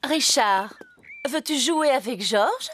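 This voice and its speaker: A woman voicing a patient, polite mother rabbit in a preschool cartoon dub, coaxing children in clear speech. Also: high-pitched, lively intonation, takes it slowly, fairly bright tone, a little hiss or hum, exaggerated voice.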